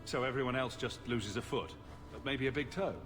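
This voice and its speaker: A man's voice: mid-range voice, fairly quick, close to an everyday voice. A man acting in a video game as a sardonic, wisecracking fantasy hero with dry sarcasm. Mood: sardonic, teasing. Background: music.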